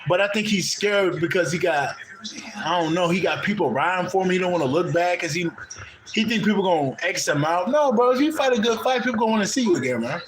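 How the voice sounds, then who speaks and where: A man's voice: high voice, lively intonation, fast talker, reverberant, background noise. A man with a Midwestern American accent chatting loosely with a caller, laughing often and talking in heavy slang with lots of swearing. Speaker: host of a spiritual channel. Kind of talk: casual chat with a live caller.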